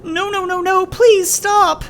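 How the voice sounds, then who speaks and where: A man voicing a desperate young male furry who pleads in a shrill, hoarse, crying voice. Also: steady tone, slow, a bit bright, slight background noise, exaggerated voice. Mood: pleading, hysterical.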